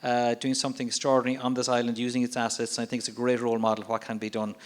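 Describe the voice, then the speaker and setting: Quick-talking man introducing guests at a stage event, loose and informal. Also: medium pitch, monotone. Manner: warm, loose, restarting phrases. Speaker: event host. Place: stage at a community event in Ireland.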